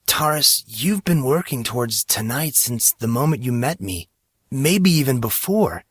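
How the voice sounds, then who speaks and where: A man voicing a perceptive, earnest teenage schoolboy in audiobook dialogue, speaking deliberately. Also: medium pitch, expressive, husky, no echo, clean studio sound, pitched above the performer's own voice. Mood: hurt realization. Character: teenage schoolboy, perceptive, playful, hurt but kind.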